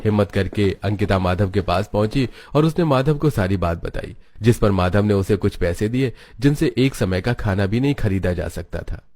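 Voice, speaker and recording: A man with a fairly low voice tells a children's story. He reads it as a scripted narration for a cartoon. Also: animated, normal speed, hardly any pauses, a little mellow, soft background music.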